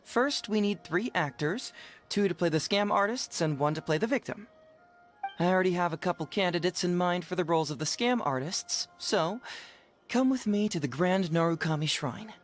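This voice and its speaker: A man's voice: high voice, expressive, normal speed, faint music behind. A man voicing a clever, easygoing young male detective in a video game, with a light, youthful voice and articulate delivery. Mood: upbeat, playful. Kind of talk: video game dialogue acting.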